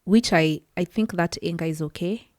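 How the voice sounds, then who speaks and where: Woman on a podcast, talks earnest, lots of restarts, kinda low voice, dry sound. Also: moderate pace, a little mellow, no echo. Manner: earnest, searching for words with frequent restarts. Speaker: podcast guest, psychology worker. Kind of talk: personal testimony in a podcast interview.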